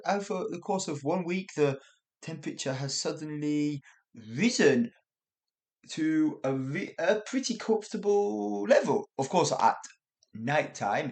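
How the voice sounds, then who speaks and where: Male teacher, relaxed podcast-lesson chat, slow pace, animated pitch. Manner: chatty and self-correcting, with frequent laughs. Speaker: English teacher, podcast host. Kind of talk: casual spoken English lesson.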